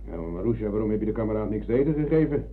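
Weary older party man, played by an actor, lowish voice, hesitant radio-play muttering, muffled narrow-band recording. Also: expressive, very fast, a little hiss or hum, natural voice. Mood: tired, grumbling. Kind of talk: Dutch radio play acting.

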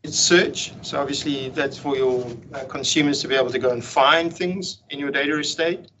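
A man presenting a technical meetup talk over slides on a video call, explaining in a relaxed way with frequent ums and restarts. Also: mid-range voice, moderate pace. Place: home room on a video call. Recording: dull lo-fi video call audio with faint hiss.

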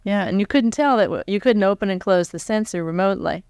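An American woman in her forties interviewing onstage in a conversational, animated way, thinking aloud as she goes. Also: mid-range voice, quick pace, clear, resonant tone, no room sound, studio quality. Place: stage before a live audience. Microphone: large foam-covered microphone on a stand close by.

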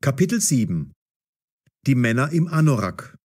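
A man narrating a scripted story for language learners in a deliberate, carefully enunciated, dramatic storytelling style. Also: mid-range voice, sing-song, a little mellow.

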